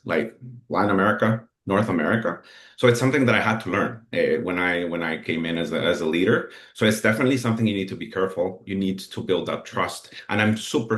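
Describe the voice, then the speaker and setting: A low-voiced man, a native Spanish speaker with a Salvadoran accent, talks casually as a webinar panelist. His video call sound is roomy and echoing. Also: fairly bright tone. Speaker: panelist, customer success manager. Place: indoor room on a video call.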